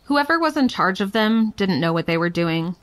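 A woman's voice: mid-range voice, very animated, normal speed, lightly acted. An actress playing a defiant, playful young woman telling her story in a frank, wry, casual way for an audio drama. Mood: wry, blunt.

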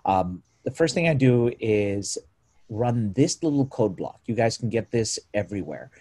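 Low mellow man walking through a tutorial. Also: sing-song. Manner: informal, self-correcting, quick asides. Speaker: meetup presenter. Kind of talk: live screen-share tutorial. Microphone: video call.

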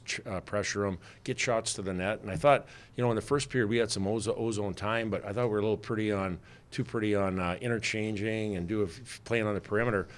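A middle-aged head coach with a low, deep voice talks to reporters into a desk mic in a press room. He speaks plainly, circling back with fillers and false starts as he sizes up his team. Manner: plainspoken, many fillers and restarts. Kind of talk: postgame press conference answers. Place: press room with sponsor backdrop. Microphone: desk mic on stand.

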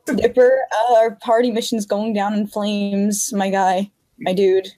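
A woman's voice: medium pitch, little pitch movement, measured pace, warm tone, lightly acted. A woman voicing a chatty, quirky twelve-year-old girl in an improvised comedic cartoon fan dub, casual and slangy. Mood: chatty, musing.

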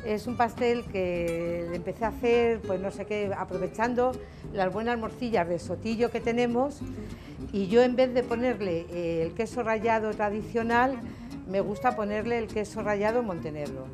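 A woman's voice: medium pitch, moderate pace. A woman, a restaurant cook explaining a recipe. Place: indoors in a restaurant kitchen. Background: guitar music.

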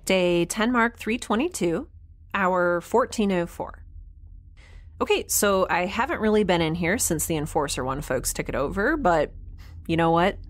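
A woman's voice: on the low side, animated, unhurried. A woman acting a flustered, sardonic young scientist stranded in space, in a conversational, rambling audio-drama style. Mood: chatty, wry. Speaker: narrator and lead of a sci-fi audio drama.

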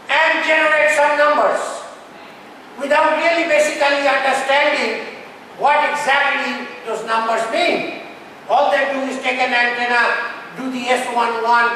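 Guy giving a blunt technical lecture, high pitched voice, really echoey room, bit of noise. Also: bright, forceful tone. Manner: blunt, provocative, rhetorical questions. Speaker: keynote speaker, lecturer. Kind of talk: technical lecture, questioning the audience. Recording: very echoey hall, distant mic, faint noise.

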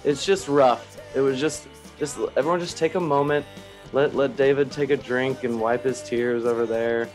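A man hosting a casual fan podcast, his voice slightly raspy after a day of yelling, talking in an animated, rambling, self-mocking way. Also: mid-range voice, moderate pace, faint music behind. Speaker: podcast host.

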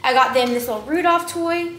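A high-voiced young woman with a twang chats through a shopping haul, rambling and filling gaps with "like" and "um". She is recorded by a camera on the counter in her kitchen, which sounds roomy and echoey. Clear household noise runs underneath. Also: a little fast, pushed and bright. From Texas. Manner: chatty, rambling, lots of likes and ums. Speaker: vlogger. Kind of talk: casual haul chat to camera. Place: home kitchen, indoors. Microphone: camera on the counter at a distance.

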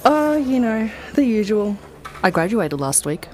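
A woman's voice: mid-range voice, very animated, unhurried, a little mellow. A woman playing a chatty, friendly young barista in an audio drama. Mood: wry, friendly.

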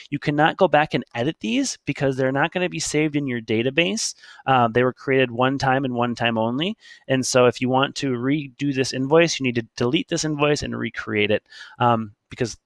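Man narrating a software tutorial, dry sound. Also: mid-range voice, no room sound. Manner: conversational, explaining step by step. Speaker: software presenter. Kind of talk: casual screen-share tutorial narration.

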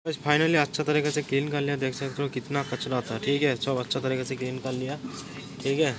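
A man's voice: mid-range voice, little pitch movement, brisk. A young man, a car mechanic with a Kolkata Hindi accent, walks through a repair job, saying "dekho" and "theek hai" over and over. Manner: repeats "dekho" and "theek hai" while showing his work. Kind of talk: hands-on repair walkthrough. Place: outdoor garage workshop. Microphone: phone camera held while working. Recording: phone audio with garage noise.

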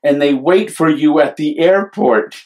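A man's voice: a little high, unhurried, darkish tone, close to an everyday voice. A man with an American accent voicing a gloomy know-it-all boy who gives emphatic warnings in a picture book.

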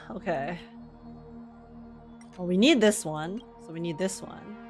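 A woman streamer giving casual, joking live commentary as she reacts to a video game. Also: medium pitch. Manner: wry, joking.

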